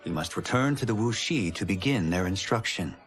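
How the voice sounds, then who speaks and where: Low-voiced earnest warrior monk. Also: male voice, expressive, a little fast, faint music behind. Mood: polite, firm. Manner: formal, polite. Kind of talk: video game cinematic dialogue.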